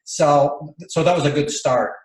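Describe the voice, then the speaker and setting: A man's voice: fairly high pitch, measured pace. Man telling a long story in a roomy space. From Bolivia. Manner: rambling, many fillers and restarts. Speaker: interview guest. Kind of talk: casual storytelling interview.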